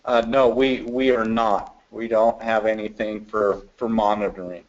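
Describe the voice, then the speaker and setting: A man attending a webinar, casually asking and answering questions in a halting way. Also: on the low side, level tone, slight background noise. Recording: dull lo-fi remote audio.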